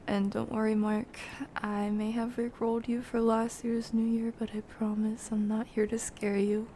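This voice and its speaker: A woman hosting a casual gaming livestream, chatting to viewers in a soft, breathy voice that often drops near a whisper. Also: on the high side, little pitch movement, measured pace, slight background noise. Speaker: livestream host, gamer.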